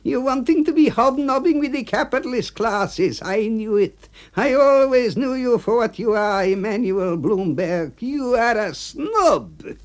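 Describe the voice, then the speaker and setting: A man voicing an excitable older male cemetery official, exasperated and laughing. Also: very high-pitched, a little slow, creaky, pitched above the performer's own voice, full character voice.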